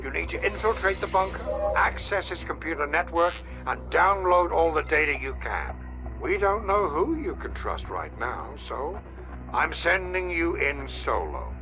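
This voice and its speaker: A video game mission commander, a man of authority. His voice sits a little high and his delivery is formal, measured and serious. Loud music plays beneath him. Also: animated. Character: authoritative male commander briefing an agent by radio, urgent.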